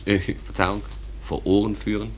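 An actor playing a genial, folksy stage manager who narrates a radio play in a reflective, conversational way. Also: male voice, lowish voice, level tone, normal speed, a little hiss or hum, close to an everyday voice.

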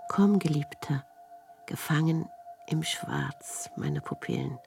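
A woman's voice: low-pitched, huge pitch swings, takes it slowly, gravelly, full character voice. An actress playing a solemn, tender woman lover in an incantatory, intimate radio drama recitation. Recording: music behind the voice. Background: music.

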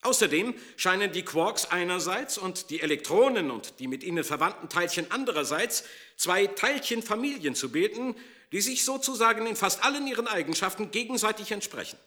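A man plays an earnest physicist explaining science. His voice is high and sharp, with lively intonation. The delivery is didactic and carefully built. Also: brisk. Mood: explanatory.

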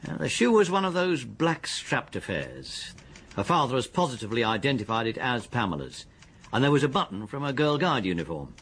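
An actor with an English accent playing a methodical, deferential police detective in a radio drama, his voice husky. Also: medium pitch, very animated, fairly bright tone, heavily acted. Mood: matter-of-fact. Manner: deliberate, factual, deferential. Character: methodical, deferential male police detective, confident and matter-of-fact. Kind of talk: British radio drama acting.

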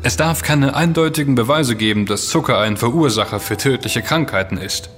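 An actor playing a self-assured, intense investigator in a German audio drama, speaking emphatically and accusingly, with dramatic delivery. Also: male voice, mid-range voice, a little fast, a bit bright, natural voice. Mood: grave. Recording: long reverb with music underneath.

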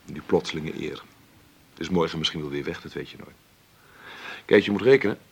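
An actor playing a wry, stubborn middle-aged film maker who talks haltingly and conversationally in a radio play interview. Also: male voice, very deep voice, gravelly, slightly rough, exaggerated voice. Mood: musing, modest. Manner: conversational, halting, adds tag questions. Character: celebrated 53-year-old film maker, wry, stubborn, self-made. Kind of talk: radio drama played as an interview.

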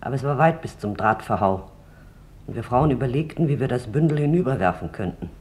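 A performer playing a bitter woman from Amsterdam who gives testimony in a radio drama, recalling it haltingly and intensely. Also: mid-range voice, animated, darkish tone, lightly acted. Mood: grave recollection. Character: bitter adult woman from Amsterdam, camp witness.

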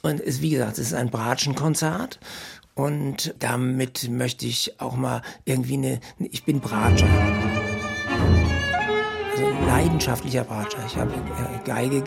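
Gravelly guy, halting, music loud behind him. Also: medium pitch, steady tone. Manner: halting, searching for words. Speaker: composer and violist. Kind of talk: archival talk about his music.

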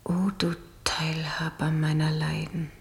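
An actress playing a sorrowful, intimate woman reciting verse of parting in a whispery, breathy voice in a radio play. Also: sits low, monotone, measured pace.

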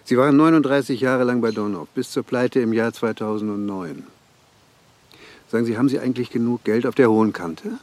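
A man's voice: medium pitch, fairly quick, darkish tone, no echo, natural voice. A man playing a wry, needling police detective who also coaches football, in a radio crime drama. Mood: probing. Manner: sardonic, probing.

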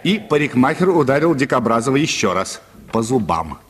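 A man's voice: mid-range voice, big pitch swings, fairly quick, background noise. A man narrating a literary short story for a radio play in a dramatic storytelling style.

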